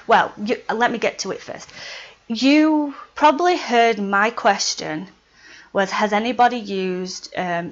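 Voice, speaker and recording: A woman's voice: mid-range voice, fairly bright tone. Chatty Yorkshire woman, slightly dull sound. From Yorkshire, England. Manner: chatty, laughs between points, often says "right" and "um". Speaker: witchcraft vlogger. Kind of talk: casual how-to vlog.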